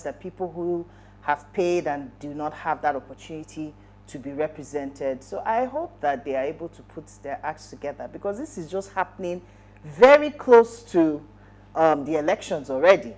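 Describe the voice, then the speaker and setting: A woman with a Nigerian accent giving news commentary as a studio talk show panelist, in halting phrases. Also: low voice, expressive, darkish tone, low-quality recording. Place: TV studio, at a desk.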